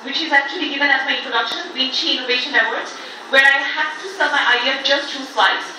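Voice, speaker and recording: A young woman from Bangladesh giving a talk to a live audience, quick, chatty and self-deprecating. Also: high voice, noisy background. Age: young adult. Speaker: speaker at an event. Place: hall before a live audience. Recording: echoey hall from far off.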